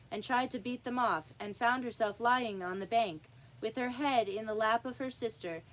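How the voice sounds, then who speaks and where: A woman reading a children's story aloud in an expressive narrating style. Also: on the high side, poor audio. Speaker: storybook reader.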